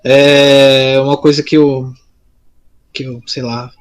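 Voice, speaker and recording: A man's voice: medium pitch, flat delivery, slow. A man co-hosting a casual live podcast, talking informally and often interrupting himself mid-thought. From São Paulo, Brazil. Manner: informal, rambling, self-interrupting. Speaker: podcast co-host. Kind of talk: casual live chat, answering listeners.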